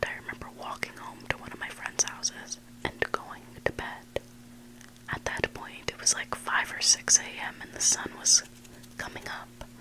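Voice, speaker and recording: A person whispering a story in ASMR style as a host, hushed and deliberate, pausing between short phrases. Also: low-quality recording. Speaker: ASMR host reading submitted online stories.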